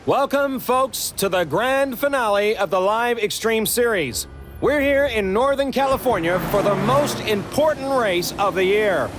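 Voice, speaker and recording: High male voice, excited motorsport announcer, showy video game commentary, noisy outdoor-sounding track. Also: unhurried. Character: big, excited motorsport event announcer man. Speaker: race announcer.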